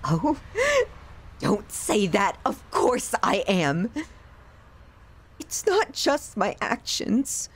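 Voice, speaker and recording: A woman playing an obsessive, self-loathing young yandere in an intimate ASMR roleplay, with an emotional, halting, pleading delivery. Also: mid-range voice, very animated, slightly rough, exaggerated voice. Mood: bitter, resigned.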